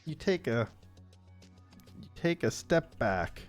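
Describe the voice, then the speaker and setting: A man hosting a livestream, casually and haltingly improvising a text adventure with amused asides. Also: medium pitch, very animated, soft background music. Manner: amused, laughs easily, pieces sentences together haltingly. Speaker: livestream host playing a text adventure game.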